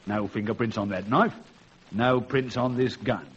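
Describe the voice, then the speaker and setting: An actor playing a cold, ruthless, middle-aged British ex-convict and master thief in a vintage radio crime drama, commanding and scornful in an articulate way. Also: male voice, lowish voice, measured pace, natural voice. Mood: menacing, gloating. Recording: dull, noisy old radio recording.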